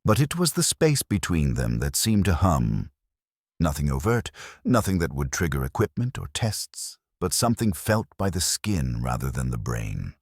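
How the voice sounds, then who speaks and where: A man reading a scripted mystery story in a measured, literary storytelling manner. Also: rumbling low, wildly swooping pitch, no echo, clean studio sound. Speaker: narrator.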